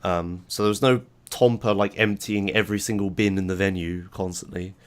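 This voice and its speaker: A man hosting a podcast, talking loosely in a casual chat with his voice hoarse from a sore throat. He rambles, swears casually and laughs easily. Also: low-pitched, steady tone, fairly quick. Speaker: podcast host.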